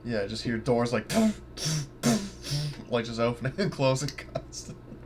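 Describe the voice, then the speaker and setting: A man's voice: medium pitch, very animated, measured pace, darkish tone, soft background music. A man chatting casually as a player in a tabletop roleplaying game.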